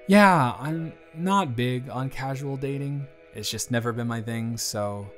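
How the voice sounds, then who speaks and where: A man's voice: mid-range voice, measured pace, a bit bright. A man acting in an intimate audio roleplay as an earnest, conflicted boss, talking in a confiding, hesitant, conversational way. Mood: candid, teasing. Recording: music behind the voice.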